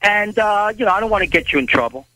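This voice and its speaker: A man's voice: high-pitched, animated, fast, sharp tone, low-quality recording. A man calling in as a guest on a talk show, boastful and profane, stammering over his words. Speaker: phone-in guest. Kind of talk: brash casual radio chat.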